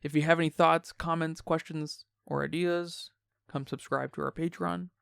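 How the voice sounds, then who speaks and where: Smooth man's voice with some fry. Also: on the high side, fairly even intonation, moderate pace, a bit bright, no echo, clean studio sound. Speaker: podcast host. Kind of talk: casual film discussion.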